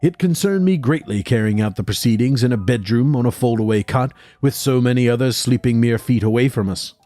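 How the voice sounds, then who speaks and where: A man playing an anxious, well-spoken assistant, narrating a horror audio drama in a formal, measured way. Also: mid-range voice, mellow, faint music behind, close to an everyday voice. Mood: grave, uneasy.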